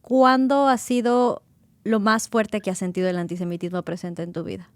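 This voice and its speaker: A woman hosting an interview podcast, speaking with emphatic, expressive delivery. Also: medium pitch, moderate pace, dry recording. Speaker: podcast host. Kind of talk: interview with scripted intro.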